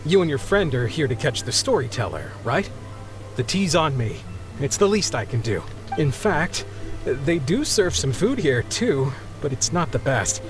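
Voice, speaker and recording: A man's voice: fairly high pitch, big pitch swings, measured pace. An actor playing an embarrassed, grateful married sea captain in a video game, flustered and apologetic as he talks. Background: background music.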